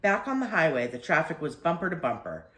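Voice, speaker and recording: An anxious storybook mother, as acted by a woman reader, critical and quick to protest. Her pitch moves in lively swings, and the room rings a little around her. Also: reverberant. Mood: apologetic. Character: anxious, complaining mother on a road trip.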